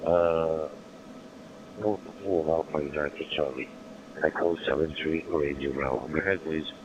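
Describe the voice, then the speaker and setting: Man, German native, very low voice, halting English, muffled noisy radio audio. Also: steady tone. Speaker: radio amateur. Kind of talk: ham radio contact.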